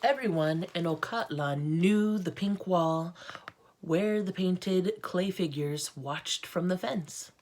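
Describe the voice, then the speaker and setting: A woman's voice: on the low side, takes it slowly, darkish tone, a little hiss or hum. A woman with an American accent reading a storybook aloud as an art teacher, with informal, encouraging asides to children.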